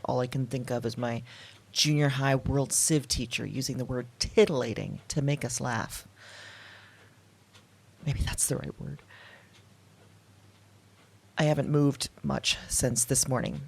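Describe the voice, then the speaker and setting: An actress playing a shaken, anxious woman alone, confiding haltingly into a night journal in an audio drama. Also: extremely low pitch, creaky, darkish tone, breathy edge, heavily acted. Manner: hesitant, confiding, halting self-talk. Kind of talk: intimate audio drama monologue. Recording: music under the voice. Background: music.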